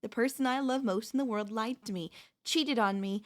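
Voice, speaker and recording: A woman playing a possessive, manipulative wife in an ASMR audio roleplay, speaking to the listener in a sweetly condescending, deliberate, theatrical way. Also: a little high, lightly acted. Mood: accusing, condescending. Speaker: voice actress.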